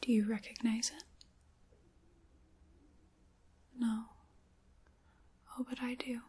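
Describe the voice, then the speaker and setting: A woman voicing an ancient, sly dark witch in an ASMR roleplay, in a breathy whisper with deliberate, theatrical, old-fashioned phrasing. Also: on the high side, flat delivery. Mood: intimate, coaxing, then wistful, reminiscing.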